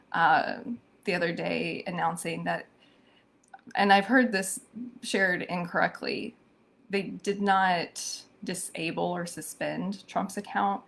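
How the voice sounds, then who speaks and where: A hoarse woman hosting a live-streamed social media commentary, thoughtful and full of ums and restarts. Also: on the low side, fairly bright tone. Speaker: social media show host.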